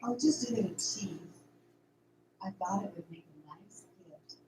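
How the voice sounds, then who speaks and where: A woman's voice: mid-range voice, a little slow, close to an everyday voice. A woman playing an affectionate, encouraging young farm woman in an amateur radio drama. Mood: affectionate, playful. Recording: echoey room, faint background noise.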